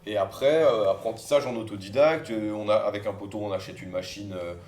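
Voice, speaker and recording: A man's voice: on the low side, fairly quick. A man who grew up in Brussels and works as a tattoo artist, chatting casually and hesitantly, with lots of fillers. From southern France, raised in Brussels. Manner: relaxed, hesitant, many fillers. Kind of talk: casual podcast chat.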